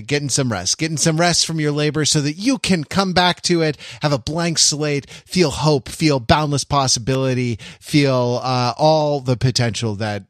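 A man's voice: medium pitch, no room sound, studio quality. A man hosting a casual podcast discussion in a reflective, wry way, restating phrases as he feels his way through a thought. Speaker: podcast host.

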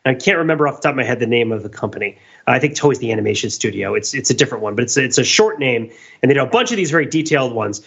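A man's voice: medium pitch, expressive, very fast, gravelly, fairly bright tone, slightly rough, dull audio. A man co-hosting a podcast in casual discussion, talking discursively and digressing as he searches for words. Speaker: podcast co-host.

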